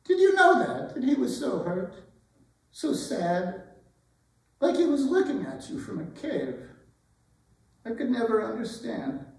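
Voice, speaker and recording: An older woman playing a gentle, wistful retired schoolteacher in a dramatic stage reading, musing and hesitant. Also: on the high side, very animated, a little slow, long pauses. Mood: sorrowful, wistful. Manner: hesitant, musing, tender, asking questions. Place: indoor arts center stage. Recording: roomy, distant stage recording.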